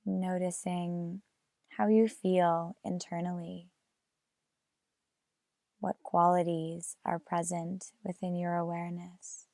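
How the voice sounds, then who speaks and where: A woman leading a guided meditation in a measured way, leaving long pauses between phrases. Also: lowish voice, level tone, vocal fry, warm tone, dry recording, clean studio sound.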